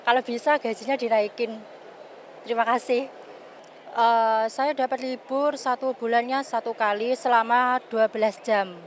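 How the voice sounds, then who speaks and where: A woman who works as a domestic worker, answering a street interview slowly and carefully with fillers. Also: a little high, steady tone, slight background noise.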